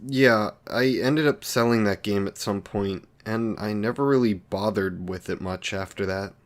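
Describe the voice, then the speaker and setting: Lonely villain for romance audio roleplay, male actor: lowish voice, unhurried delivery, halting and socially awkward, eager to please. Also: lightly acted. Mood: wistful, awkward. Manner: halting, hesitant, awkward, apologetic.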